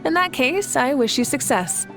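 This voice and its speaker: A woman's voice: sits high, lively intonation, normal speed, a bit bright, faint music behind. An actress playing a composed, confident young female leader in a video game, poised and wryly amused. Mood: wry amusement. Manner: poised, wry.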